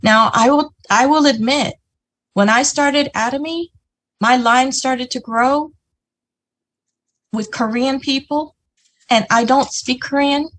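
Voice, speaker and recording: A woman with a fairly high, husky voice talks over a video call. She gives a casual, motivational business talk and keeps checking in with her listeners as she goes. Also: slow, pauses often, rough recording. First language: English. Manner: lively, chatty, frequent "you know" and "right?". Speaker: business presenter.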